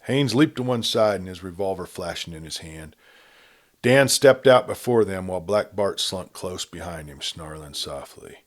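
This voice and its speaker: A man narrating a Western novel in a measured, quiet reading style. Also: low-pitched, lively intonation. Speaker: storyteller. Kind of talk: scripted story narration.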